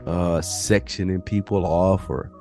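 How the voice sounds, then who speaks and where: A man's voice: sits low, steady tone, unhurried, mellow, faint music behind. A man co-hosting a podcast in casual conversation, slangy and profane, giving his opinions freely. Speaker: podcast co-host.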